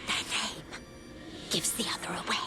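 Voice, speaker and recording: A woman voicing the reluctant, secretive spirit of a murdered corpse in a video game, speaking in halting whispers. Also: natural voice. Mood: pained, pleading. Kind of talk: dramatic game acting. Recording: game music behind.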